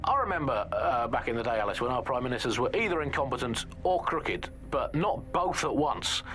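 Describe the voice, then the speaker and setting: British man, acting as sardonic pirate radio host, average pitch, hesitant rambling asides, satirical banter, faint noise. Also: lively intonation, fairly quick, a bit bright, lightly acted. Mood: exasperated.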